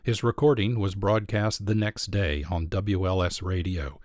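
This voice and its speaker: A low-pitched American man narrates as a radio host. His delivery is scripted documentary narration, recorded close and dry with no room sound. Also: steady tone, measured pace, a little mellow, no room sound.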